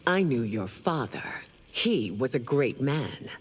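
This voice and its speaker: A woman playing a regal, stern empress in a video game, speaking formally and with measured command. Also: extremely low pitch, big pitch swings, breathy edge, heavily acted. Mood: calm, regal. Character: regal, stern warrior empress, compassionate but wrathful. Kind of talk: dramatic video game acting. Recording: long reverb, faint background noise.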